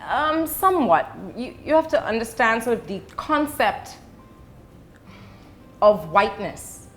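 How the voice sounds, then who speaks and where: A middle-aged woman from the Bahamas and the UK, an educator giving explanations as an interview guest, choosing her words deliberately with pauses. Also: on the high side, big pitch swings, faint music behind.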